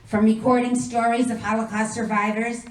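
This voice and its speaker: A woman presenting a ceremony, calling people up in a measured way with short introductions. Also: fairly high pitch, level tone, a little hiss or hum. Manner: measured, announcing names and short bios. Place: hall at a memorial ceremony. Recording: echoey hall, mic at a distance, faint ambience.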